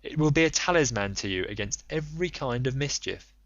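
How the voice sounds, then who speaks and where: A man's voice: mid-range voice, animated, brisk, fairly bright tone, no room sound, muffled recording. A man voicing a helpful god disguised as a youth in an audiobook epic, assured and instructive. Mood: assured warning. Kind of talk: audiobook character reading.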